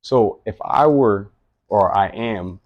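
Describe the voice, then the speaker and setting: A man's voice: fairly low pitch, slow pace, dry recording, lo-fi sound. A man in his late thirties giving an earnest, rambling personal monologue, often repeating himself. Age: late 30s. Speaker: vlogger.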